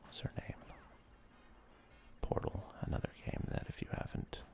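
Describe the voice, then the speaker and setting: A person whispering laid-back, halting commentary over a video game in an ASMR style, as the host of a gaming video. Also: darkish tone.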